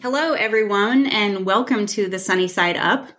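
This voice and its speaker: A woman's voice: mid-range voice, normal speed, fairly bright tone, faint music behind. A woman hosting a podcast interview in an upbeat, eager way. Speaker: podcast host.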